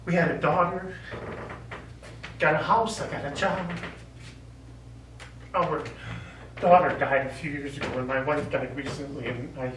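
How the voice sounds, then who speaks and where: An actor on stage playing a middle-aged American war veteran, remorseful and lovelorn, speaking in a halting, earnest, pleading way. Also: male voice, fairly high pitch, fairly even intonation, close to an everyday voice. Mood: sorrowful. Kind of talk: stage play acting. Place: small theatre stage. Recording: echoey theatre stage, distant mic, faint noise.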